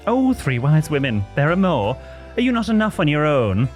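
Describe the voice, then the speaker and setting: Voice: mid-range voice, sing-song, moderate pace, soft background music, voice pushed up, natural voice. A performer voicing a timid, camp young boy with a northern English accent, breathless and easily alarmed. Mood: fluttery.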